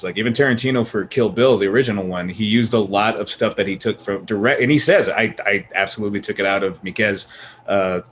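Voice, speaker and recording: A man on a casual movie panel, talking in an animated, joking, halting way with some swearing. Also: on the low side, slight background noise. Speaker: podcast panelist.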